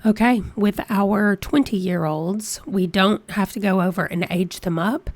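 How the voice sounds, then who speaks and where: A woman's voice: medium pitch, a little slow, no echo, studio quality. A woman hosting a let's play, chatty and reading out dice rolls, her voice congested from a cold. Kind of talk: casual game commentary.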